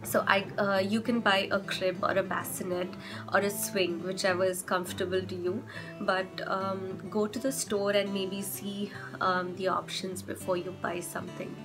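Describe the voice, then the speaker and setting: A young woman vlogging with chatty parenting advice, her voice hoarse from a cold, often stopping to rephrase with fillers. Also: medium pitch, level tone, normal speed, over music. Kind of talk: casual advice vlog.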